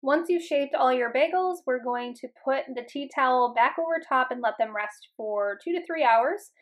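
Relaxed, chatty woman with a higher voice. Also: normal speed, faint music behind. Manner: relaxed and chatty, with frequent ums and uhs. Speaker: home cooking vlogger. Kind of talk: casual voice-over narration. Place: home kitchen voice-over.

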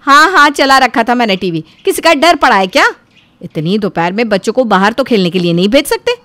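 A woman voicing a defiant, quarrelsome elder daughter-in-law in an animated family story, arguing indignantly. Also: on the high side, brisk, no echo. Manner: argumentative, indignant.

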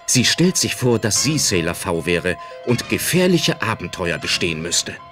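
A woman narrates a radio play in a very low register. Her scripted storytelling is lively in intonation, with light music underneath. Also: normal speed.

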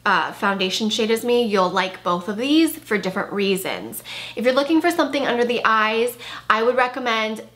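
A woman's voice: on the high side, lively intonation, normal speed, fairly bright tone. Chatty woman reviewing makeup, losing her voice. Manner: chatty, enthusiastic, with frequent "like" and "really". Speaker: beauty vlogger. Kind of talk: casual product review. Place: bedroom with clothing rack, indoors.

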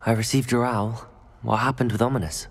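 A man playing a courteous, persuasive teenage student wizard, speaking in a measured way in a video game cutscene. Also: medium pitch, steady tone, slightly rough. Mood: careful, apologetic.

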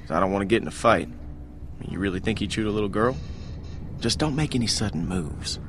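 An actor playing a cautious, level-headed young survivor in a video game. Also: male voice, lowish voice, lively intonation, a little fast, noisy background, close to an everyday voice. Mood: low-key, wary. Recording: game dialogue over music.

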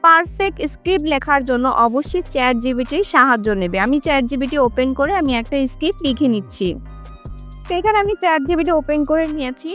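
Fast, high-pitched woman explaining steps. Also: expressive, fairly bright tone, faint music behind. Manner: instructional, addressing viewers directly. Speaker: tutorial host. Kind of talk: casual how-to screen tutorial narration.

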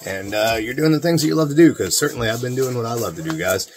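A man's voice: medium pitch, animated, fast. A middle-aged man talking casually to his viewers in a folksy, informal way, as host of a video channel. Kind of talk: casual talking-head vlog. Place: small cluttered room at home, indoors.

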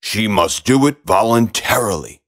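A man voicing a polite but insistent warty frog in a storybook, croaking and speaking in a formal, measured way. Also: fairly low pitch, lively intonation, fairly bright tone. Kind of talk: storybook character voice.

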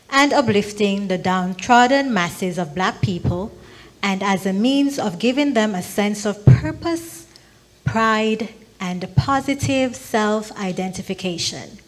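A woman formally reading a ceremonial proclamation with measured, deliberate phrasing at a podium microphone. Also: mid-range voice, poor audio. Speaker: legislative aide reading a proclamation. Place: event hall stage at a podium.

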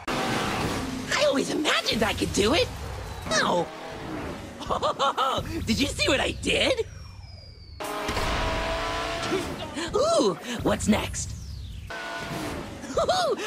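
A man voicing an excitable, childlike little dragon in a video game, playful and bubbly, with a squeaky cartoon voice. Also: slow, gravelly, long pauses, heavily acted. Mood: excited. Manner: bubbly, exclamatory, wide-eyed. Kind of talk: animated video game character lines. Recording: music behind the voice.

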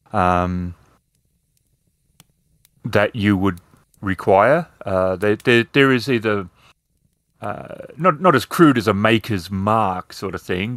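Low-voiced man running a tabletop game. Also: a bit bright, dry recording. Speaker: game master. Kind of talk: improvised narration of a tabletop game.

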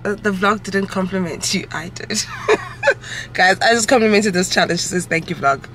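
Chatty mom vlogger. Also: female voice, mid-range voice, moderate pace, a bit bright, slight background noise. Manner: chatty, rambling, corrects herself mid-sentence. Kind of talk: casual vlog chat to camera. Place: at home.

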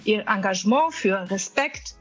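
A woman's voice: medium pitch, lively intonation, measured pace, faint music behind. A woman in her thirties hosting a show with a scripted introduction. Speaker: host.

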